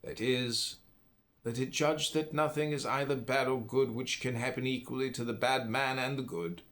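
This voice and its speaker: A man with a mid-pitched voice reads philosophical prose aloud. His phrasing is deliberate and emphatic, set apart by pauses, in the manner of an audiobook narrator. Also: a bit bright. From United States. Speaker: audiobook reader.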